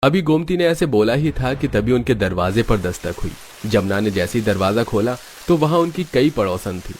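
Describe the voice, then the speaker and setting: A man's voice: medium pitch, sing-song, moderate pace, darkish tone. Male cartoon story narrator, faint noise.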